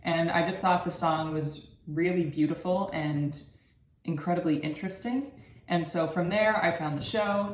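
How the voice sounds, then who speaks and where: Young man presenting, roomy echo. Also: a little high. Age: early 20s. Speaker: university student presenter. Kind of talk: scripted research presentation. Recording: roomy echo with background noise.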